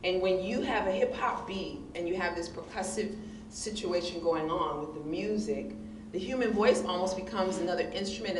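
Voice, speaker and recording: A woman with a big voice answering on a discussion panel, expressive and emphatic, often laughing. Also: on the low side. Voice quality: big, loud voice. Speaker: panelist, hip hop poet. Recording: distant mic in echoey room with noise.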